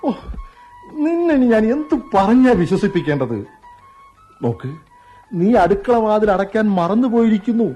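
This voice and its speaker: A teasing young husband, lilt. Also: male voice, sits high, sing-song, normal speed, pauses often, warm tone, soft background music. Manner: teasing, cajoling, mock-threatening. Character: playful stubborn young husband doting on wife. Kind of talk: radio play acting.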